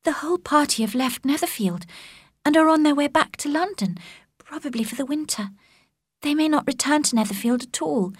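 A woman voicing a gentle young woman, a soft-spoken elder sister, in a subdued way. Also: high voice, slightly rough, no room sound, clean studio sound, voice pushed up. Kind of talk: audiobook character voice.

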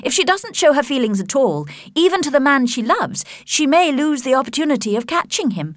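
A woman voicing a sensible, pragmatic young gentlewoman in a storybook, speaking with earnest assurance. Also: sits high, big pitch swings, fairly quick, dry recording, studio quality, voice pushed up. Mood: earnest, advising.

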